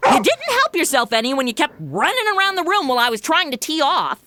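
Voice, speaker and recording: A woman's voice: sits high, big pitch swings, fast, very noisy. A woman playing a brash, flippant young American in a comedy audio drama, casual and sarcastic. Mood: chatty.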